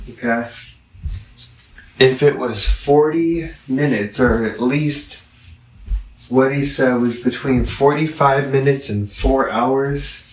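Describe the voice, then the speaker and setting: Casual male vlogger, unhurried and rambling review delivery with halting self-corrections, recorded roomy and distant from the mic. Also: medium pitch. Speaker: amateur product reviewer.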